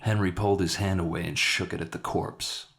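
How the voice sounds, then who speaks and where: A man's voice: low-pitched, steady tone, a little fast, vocal fry, fairly bright tone. A man reading a scripted dramatic short story aloud as its narrator. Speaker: author narrating his own story.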